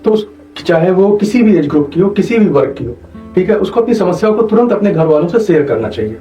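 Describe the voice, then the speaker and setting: A man's voice: high voice, animated, fast, mellow. A man explains medical matters didactically as an interview guest, repeating points and checking that he is understood. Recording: dull, echoey, distant mic, faint music behind.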